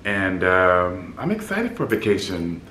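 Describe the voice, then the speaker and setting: A man in his fifties with a deep baritone voice, a bit scratchy in the throat, chatting upbeat to the camera in his apartment as a vlog host. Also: huge pitch swings, unhurried, sharp tone, reverberant. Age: 50s. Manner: upbeat, chatty, laughs easily. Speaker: flight attendant vlogger. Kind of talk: casual vlog chat. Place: apartment room by a city window.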